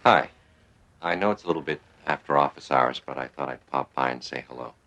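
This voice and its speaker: An actor playing a calm, patient male psychiatrist in a television drama, speaking gently and a little hesitantly as he probes. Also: very deep voice, fairly quick. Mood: friendly, gentle. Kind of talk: naturalistic television drama dialogue.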